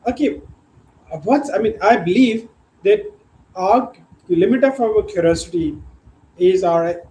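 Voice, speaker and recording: A man hosting an interview show, asking questions haltingly and repeating words as he phrases them. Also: high-pitched, dark tone. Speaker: show host. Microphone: remote video call. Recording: echoey room with faint background hum.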